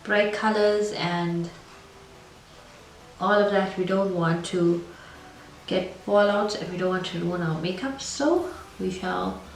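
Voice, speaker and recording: A woman's voice: on the low side, slow pace, long pauses. A woman with a cold and a scratchy, congested voice, chatting casually through a makeup review in a rambling way. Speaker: beauty vlogger. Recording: echoey room, far from mic, faint noise.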